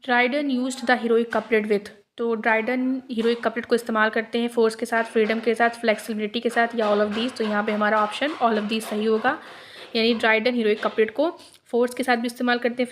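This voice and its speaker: A woman teacher going through exam multiple-choice questions, reading each one out slowly and then explaining it. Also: on the high side, flat delivery, a little hiss or hum.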